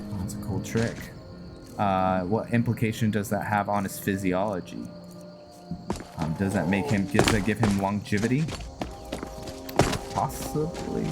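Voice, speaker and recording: Slow, musing man over loud music. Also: on the low side. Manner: musing, hesitant, thinking aloud. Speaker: gamer commentating a roleplay playthrough. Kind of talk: casual gameplay commentary. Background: loud game music.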